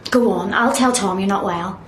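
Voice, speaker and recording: An Irish actress playing a concerned, insistent newspaper colleague in a radio drama. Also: female voice, mid-range voice, normal speed, a little hiss or hum, natural voice. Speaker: actor in a radio play. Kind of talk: naturalistic radio drama acting.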